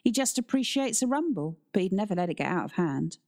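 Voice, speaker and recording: A woman's voice: mid-range voice, very animated, quick pace, darkish tone. A woman voicing a cool schoolboy in an audiobook, casual and offhand about trouble. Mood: assured.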